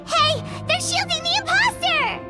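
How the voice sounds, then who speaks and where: A woman voicing a tiny, childlike, excitable fairy sidekick in a video game. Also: a little slow, loud music behind the voice. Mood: startled. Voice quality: squeaky, childlike. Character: tiny excitable floating fairy companion, girlish and squeaky.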